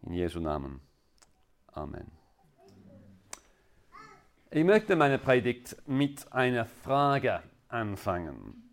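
A man from England with an English accent, a native English speaker preaching as a guest in halting, deliberate non-native speech. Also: medium pitch, wildly swooping pitch. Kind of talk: sermon.

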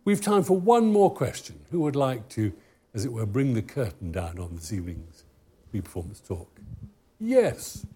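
A male moderator runs the audience questions with a husky voice and a lively, rising and falling pitch. His phrasing is formal and courteous. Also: normal speed. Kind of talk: hosting an audience Q&A.

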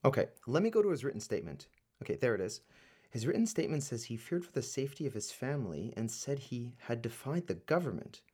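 A man's voice: medium pitch, big pitch swings, quick pace, a little mellow, slightly rough. A man acting in a radio play as a businesslike, wry government official helping an investigation. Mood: searching, matter-of-fact.

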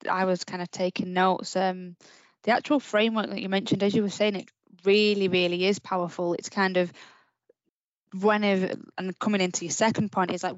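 A woman's voice: mid-range voice, no echo, lo-fi sound. A woman hosting a panel discussion, summing up points and putting questions in a conversational way.

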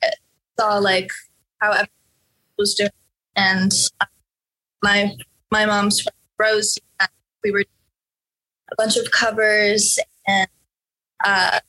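A young woman from Norway answers interview questions slowly, pausing and restarting often. Her voice is bright and forceful, and she is in no hurry to finish a thought. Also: medium pitch, little pitch movement, low-quality recording. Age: young adult. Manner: hesitant, many fillers and restarts. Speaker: interview guest, musician.

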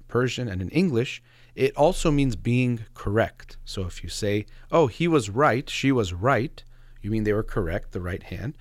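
A man's voice: medium pitch, dry recording. A man hosting a radio show, talking reflectively on his own in an explanatory, slightly hesitant way, close to the microphone. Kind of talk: reflective solo talk show monologue.

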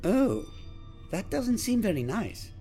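A woman playing a fussy, wordy, excitable eccentric scientist in a comedic audio drama. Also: mid-range voice, slow, faint music behind. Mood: hesitant, fussy.

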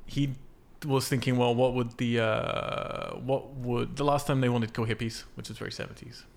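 Guy hosting a movie podcast, kinda creaky voice, mid pitch, swears, just explaining stuff casually. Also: steady tone, moderate pace. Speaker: film podcast host. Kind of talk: casual film discussion from notes.

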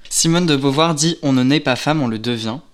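A man interviewing an actress, reading prepared questions in a measured way. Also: mid-range voice.

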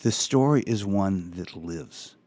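A man hosts a local talk show in a very deep voice. He chats through the news casually, with asides and little fillers along the way. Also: expressive, slow, a little mellow. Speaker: radio talk show host. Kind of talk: casual radio talk, reading headlines.

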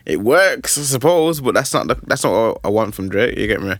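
A young man hosting a podcast, chatting casually about music in a rambling, animated way with lots of restarts. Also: mid-range voice, a little fast, dry recording. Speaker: podcast host.

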